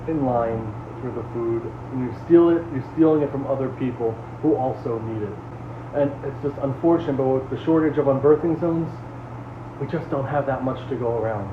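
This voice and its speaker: A man acting a weary, patient community leader in a horror series, earnest and halting as he gently reprimands. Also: medium pitch, natural voice. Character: weary, patient male leader of an underground refuge. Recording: tinny, echoey, noisy lo-fi audio.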